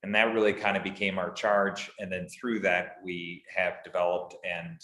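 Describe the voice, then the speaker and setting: A man's voice: sits low, little pitch movement, normal speed, roomy sound. A man on an advisory board, giving an easygoing, informal briefing to a city council in a council chamber. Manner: loud, easygoing, self-deprecating. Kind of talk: informal briefing at a public meeting.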